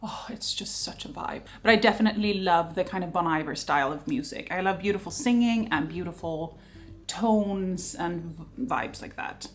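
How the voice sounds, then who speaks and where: A woman in her thirties with a Swedish accent, hosting a casual question-and-answer chat in a lively, talkative way and laughing often. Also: on the low side, normal speed, faint music behind. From Sweden. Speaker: vlogger host.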